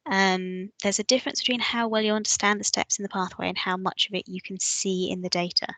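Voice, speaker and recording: A woman's voice: medium pitch, fairly even intonation, a little fast, bright, forceful tone, no room sound. A woman hosting an online course, answering audience questions in a chatty, practical way. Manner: chatty, practical, lots of fillers. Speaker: webinar host.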